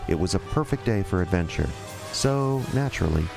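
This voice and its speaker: A man acting as a wistful, wry storyteller, narrating a childhood adventure for a radio drama in a folksy, measured way. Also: deep voice, animated, creaky. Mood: nostalgic. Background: music.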